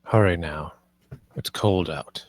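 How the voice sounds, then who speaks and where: A man's voice: deep voice, slow pace, dry recording. A man playing a stern, curt lord of a manor in a screenplay read, terse and commanding. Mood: stern, guarded.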